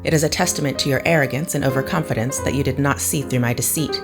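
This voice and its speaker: Casting: actress for an unflinching, imperious woman with a deep female register and exact, formal diction, audio drama. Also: over music. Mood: cold contempt. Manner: deliberate, clipped formal phrasing. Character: cold, formal, unflinching superior-minded woman. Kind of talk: scripted audio drama acting.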